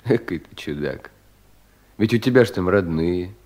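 Anxious young provincial clerk, lowish voice, theatrical soul-searching with swells and hushed drops, classic radio drama. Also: male voice, animated, moderate pace. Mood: weary, curious. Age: young adult. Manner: brooding, theatrical, self-questioning, with sudden swells and hushed drops. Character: troubled, self-doubting young provincial official, anxious and brooding. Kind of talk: 1970s Russian audio play, theatrical monologue.

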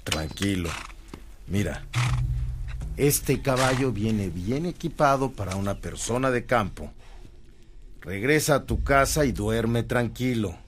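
A man playing a steadfast, devout missionary priest in a radio drama, measured and solemn, with a Mexican accent. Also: mid-range voice, animated, a little hiss or hum. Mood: calm, firm.

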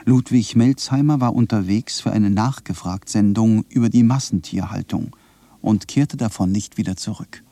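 An actor playing a sober radio announcer, reading a formal scripted bulletin. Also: male voice, on the low side, normal speed, vocal fry, warm tone, no room sound.

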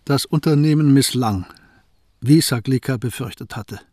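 A man narrating a radio play in a steady storytelling manner. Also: mid-range voice, moderate pace, dry recording, studio quality. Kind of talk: scripted radio drama narration.

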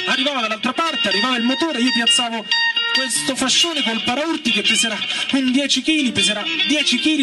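Man's animated, high-pitched dramatic reading over loud music. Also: far from the mic. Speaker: reader at a literary reading. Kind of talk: dramatic first-person novel reading over live music. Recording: voice off-mic under loud music. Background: loud electric organ and guitar music.

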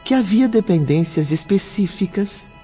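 An actor playing a solemn, dramatic storyteller who narrates a radio drama for the listener. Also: male voice, high voice, expressive, dark tone. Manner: dramatic, deliberate. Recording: dull lo-fi audio under music.

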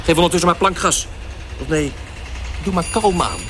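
A man playing an eccentric, mock-formal gentleman taxi passenger in an absurdist radio comedy. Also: on the high side, moderate pace, slight background noise, close to an everyday voice. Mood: whimsical. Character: eccentric, breezy gentleman passenger mumbling to himself. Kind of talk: absurdist comic radio drama acting.

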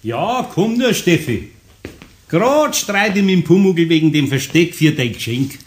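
An older Bavarian master craftsman, kindly and fond of teasing. His voice swings widely in pitch as he speaks in homely dialect, in the style of a children's radio drama. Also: big pitch swings, a little slow. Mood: friendly. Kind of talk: German children's radio play acting.

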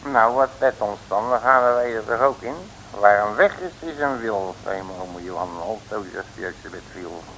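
An actor playing a cheeky, unflappable detective in an old radio serial, with colloquial, muttered wry asides. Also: male voice, lowish voice, normal speed, hardly any pauses, fairly bright tone, natural voice. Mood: cheerful bluster. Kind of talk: 1960s Dutch radio serial acting. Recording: hissy old radio recording, echoey, reverberant.

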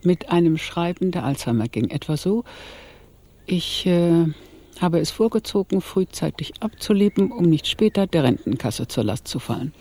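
An elderly, educated plotter in a radio crime comedy. She speaks decisively and precisely, in a creaky, husky voice. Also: on the low side, animated, moderate pace, mellow. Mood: measured scheming. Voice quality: gravelly, husky. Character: cool, decisive, literate old lady masterminding a plot.

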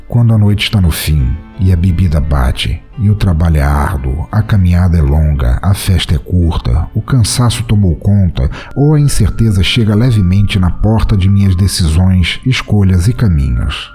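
A man with a deep, mellow voice narrates a horror story in the first person, his pitch swinging widely for dramatic effect. He hosts the podcast himself, and faint music sits under his theatrical reading. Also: moderate pace, hardly any pauses. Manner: theatrical, expressive delivery.

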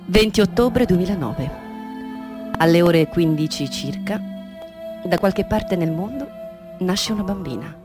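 A woman narrating a radio drama in a measured, solemn manner. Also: on the low side, animated, over music. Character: solemn narrator announcing events.

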